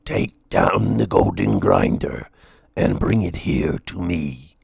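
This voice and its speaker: A man voicing a gigantic, kindly male dragon in a children's storybook, speaking slowly and deliberately with gentle solemnity. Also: low voice, very animated, vocal fry, breathy edge, no room sound, clean studio sound, pitched below the performer's own voice, heavily acted. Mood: solemn, instructing. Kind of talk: children's storybook read-aloud.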